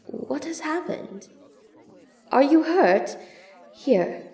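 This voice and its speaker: A woman playing a gentle, protective monster mother in an audio drama, speaking in a formal, careful, soothing way. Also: high-pitched, sing-song, drawn-out words, echoey room, full character voice. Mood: worried, urgent.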